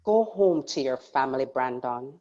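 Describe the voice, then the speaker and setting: A woman's voice: a bass voice, lively intonation, moderate pace, mellow, lo-fi sound. A woman playing a smug, cold, ambitious rival in a dramatic script read-through.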